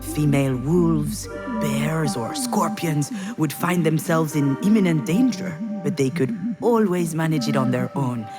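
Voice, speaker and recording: An actress playing a reflective, wounded woman confiding her memories in measured, intimate visual novel narration. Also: sits low. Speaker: video game character narrator. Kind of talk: introspective scripted narration, visual novel voice acting.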